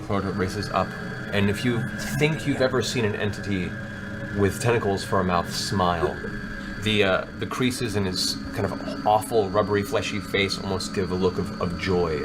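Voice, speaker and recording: Low-voiced man narrating over music. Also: rough recording. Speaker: game master. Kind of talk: dramatic narration of a tabletop game. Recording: music behind. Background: background music.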